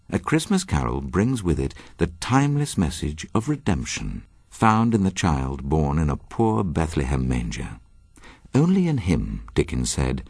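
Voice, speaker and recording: Deep guy reading scripted narration, earnest, slightly husky, close dry sound. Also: darkish tone, no room sound. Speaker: presenter.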